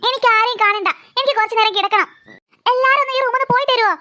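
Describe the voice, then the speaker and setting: A woman's voice: extremely high pitch, racing, bright, forceful tone. A woman voicing a sulky, weary little girl in a children's cartoon, petulant and whiny. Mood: sulky, irritated.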